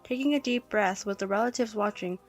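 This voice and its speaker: A woman's voice: medium pitch, fairly quick, faint music behind. A woman reading a scripted first-person story as narrator.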